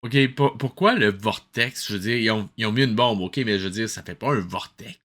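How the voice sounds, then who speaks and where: A man's voice: fairly low pitch, expressive, brisk, very noisy. A man with a Québécois accent, hosting a casual cartoon review, exasperated and sarcastic and dropping English words into his talk. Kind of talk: casual commentary.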